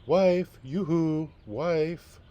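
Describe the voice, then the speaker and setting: A man voicing a farmer's wife calling out in a high sing-song voice. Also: drawn-out words, warm tone, muffled recording. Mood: calling sweetly.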